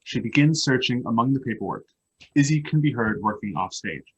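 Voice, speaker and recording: A man reading out stage directions as scripted narration for a play, with a husky voice. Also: medium pitch, lively intonation, a little fast, highs cut off.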